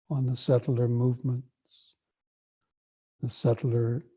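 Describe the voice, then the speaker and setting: A man guiding a breathing meditation, speaking softly and evenly with long pauses between phrases. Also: medium pitch. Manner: slow, soft and even, with long pauses. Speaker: meditation teacher.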